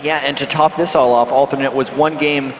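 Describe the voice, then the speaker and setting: A man from North America giving measured, analytical esports color commentary on a headset at a packed convention hall. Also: mid-range voice, steady tone, a little hiss or hum. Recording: echoey arena with music behind.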